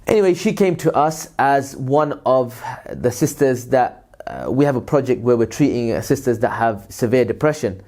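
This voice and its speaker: A man's voice: medium pitch, animated, moderate pace, few pauses, a little mellow. A man who works in aid, answering viewers' questions in a casual vlog, with a loose, rambling delivery full of fillers and religious phrases. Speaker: aid worker and vlogger.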